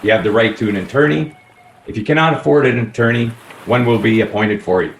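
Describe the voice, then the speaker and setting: An actor as a folksy, shrewd inspector with a mid-pitched voice. He is deliberate and accusing, in radio drama style, in a very roomy space with faint noise. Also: lightly acted. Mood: firm, assured. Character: shrewd, folksy detective inspector laying out a case. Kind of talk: radio play acting. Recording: echoey room with faint noise.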